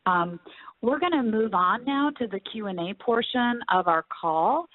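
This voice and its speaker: A woman's voice: a little high, animated, a little slow, no room sound. A woman hosting a conference call, polite and a bit hesitant. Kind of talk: hosting, semi-scripted moderation. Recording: dry, muffled phone conference line.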